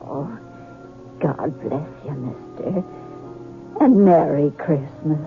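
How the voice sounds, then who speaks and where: Crusty country spinster, swooping old-radio voice. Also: female voice, sits low, lively intonation, slow pace, creaky, faint music behind, exaggerated voice. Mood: touched. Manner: rustic, sharp-tongued, emphatic. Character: crusty scolding spinster aunt, soft underneath. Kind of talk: 1950s radio western acting. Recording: old tinny radio broadcast, noisy.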